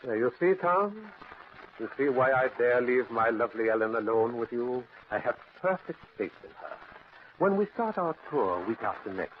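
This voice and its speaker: An actor playing a possessive, menacing stage mind reader in old-time radio thriller style, with a commanding, silky, deliberate delivery. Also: male voice, mid-range voice, sing-song, natural voice. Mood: silky menace. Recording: tinny, noisy old radio recording.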